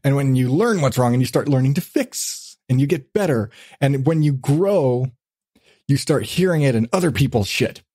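A man's voice: mid-range voice, animated, moderate pace, dark tone, husky, dry recording, clean studio sound. A man hosting a podcast in a casual, profane chat, telling things animatedly with acted-out asides. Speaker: podcast host.

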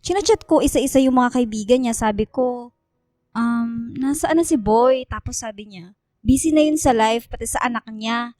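High-pitched young woman, acted by a woman, radio drama, chatty, dramatic, gossipy, dry close sound. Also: normal speed, no room sound, faint music behind, natural voice. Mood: gossipy, confiding. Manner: expressive, gossipy storytelling. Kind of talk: radio drama dialogue in casual Taglish.

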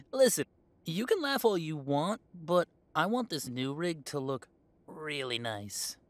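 A man's voice: on the high side, big pitch swings, takes it slowly, no echo. A man playing a young werewolf in a conversational audio roleplay, talking in a casual, earnest way. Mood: playful. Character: easygoing young male werewolf, defensive with parents.

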